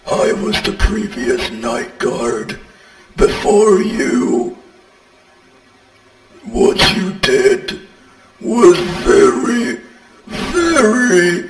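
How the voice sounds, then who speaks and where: A man voicing a vengeful haunted animatronic creature for horror game voice lines, menacing and bitter in a drawn-out delivery. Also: high-pitched, expressive, full character voice. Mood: cold, accusing. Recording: echoey long reverb, distant, music behind.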